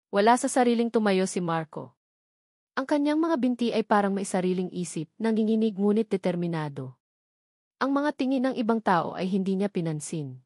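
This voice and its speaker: A Filipino woman narrates a dramatic romance story. Her voice sits at a medium pitch for a woman, and the sound is dry, with next to no room in it. The delivery is scripted storytelling. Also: lively intonation, normal speed, a little mellow, dry recording.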